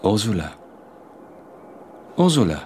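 An actor playing an evasive, placating husband in a subdued radio drama, speaking in terse, quiet, hesitant lines. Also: male voice, on the low side, sing-song, takes it slowly, slight background noise.

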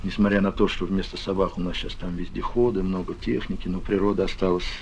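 A man explaining things in an interview as an experienced explorer, measured and emphatic. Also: low-pitched, little pitch movement, darkish tone. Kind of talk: reflective, explanatory interview talk. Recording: dull old recording with faint noise.

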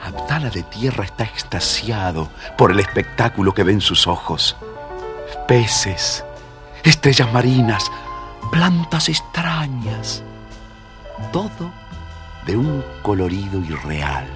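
A man with an Argentine accent narrating a scripted children's story with expressive storyteller phrasing. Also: lowish voice, slow, over music.

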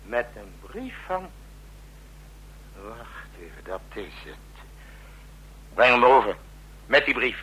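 An actor playing a commanding, brusque president in a Dutch radio drama, barking orders and grumbling asides. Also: male voice, mid-range voice, big pitch swings, slow, pauses often, bright, forceful tone, slightly rough, exaggerated voice, poor audio. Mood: irritable, impatient.

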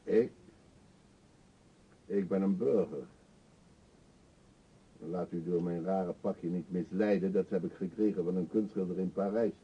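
An actor playing an eccentric, talkative older beggar in a radio drama, pushy and wry, confiding theatrically. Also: male voice, low-pitched, slight background noise. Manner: chatty, insistent, theatrically confiding. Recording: dull, muffled old radio play recording.

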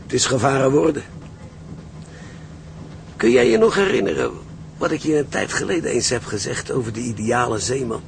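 A man acting a gruff, seasoned seaman in a Dutch radio drama, blunt and philosophical. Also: mid-range voice, animated, normal speed, pauses often, slight background noise. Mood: grave, encouraging.

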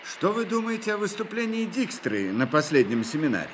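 An actor playing a courteous, earnest psychologist who asks probing questions in an audio drama. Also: male voice, high voice, big pitch swings, brisk, noisy background. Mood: curious. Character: attentive, inquisitive male psychologist, courteous and earnest. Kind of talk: Russian audio drama acting.

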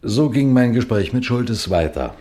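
An actor playing a shrewd, composed senior police detective in a radio crime play, speaking in a deliberate, courteous, instructive way. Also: male voice, mid-range voice, sing-song, over loud music, close to an everyday voice. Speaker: detective and narrator addressing listeners. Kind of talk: scripted German radio crime drama.

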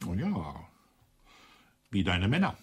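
An actor playing a suave, sinister husband in a radio play, a colonel with many dead wives, husky and languid. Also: male voice, low voice, wildly swooping pitch, takes it slowly, exaggerated voice. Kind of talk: radio drama acting.

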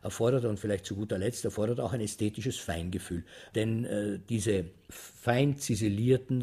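A man's voice: lowish voice, dark tone, husky. A man giving a methodical interview answer about literature in elevated, carefully chosen language.

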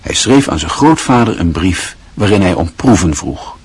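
A male narrator with a very deep voice and a strongly husky, rough texture. He reads in a measured, wistful literary style for a radio play. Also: expressive, dry recording.

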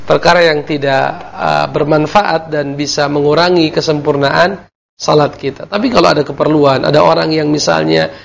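A man with a gravelly edge and a slightly raised pitch teaches Islamic law on a televised call-in program. He explains in a measured way, weaving in Arabic terms and correcting himself as he goes. His delivery is steady and instructive. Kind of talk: televised religious lesson with call-in questions.

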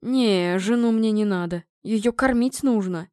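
A scruffy, uncouth beggar man, put on by a woman narrator, speaks in a hoarse bleat. He is cheeky and haggling, as in a storybook, recorded dry. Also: medium pitch, unhurried, a little mellow, no room sound, lightly acted. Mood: cheeky, critical.

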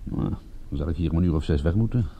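A man's voice: rumbling low, quick pace, warm tone, husky, full character voice. A man playing a wry private detective in a radio play, with a dry, laconic delivery, at times narrating his own case. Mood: casual. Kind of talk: radio play acting with first-person narration. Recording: dull, lo-fi radio play recording.

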